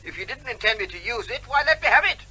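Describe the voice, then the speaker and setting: An actor playing a mock-polite, evasive neighbour who needles and quibbles in breezy, pedantic fashion in a comic radio play. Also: male voice, extremely high pitch, animated, quick pace, pushed and bright, breathy edge, exaggerated voice. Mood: smug teasing. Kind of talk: comic radio drama acting.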